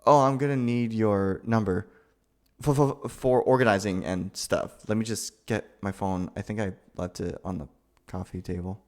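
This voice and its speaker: A man's voice: lowish voice, fairly even intonation, moderate pace, close to an everyday voice. A young man playing a nervous, sweet, awkward roommate in an ASMR roleplay, stammering and hesitant. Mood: nervous, pleased. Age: young adult. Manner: flustered, stammering, hesitant, sighing. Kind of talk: ASMR boyfriend roleplay, acted monologue.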